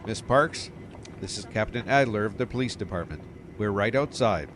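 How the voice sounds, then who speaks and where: A man's voice: fairly low pitch, lively intonation, normal speed, natural voice. A man playing a sceptical, official older police captain in an audio drama, with clipped questions. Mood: businesslike. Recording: clearly noisy outdoor street ambience behind.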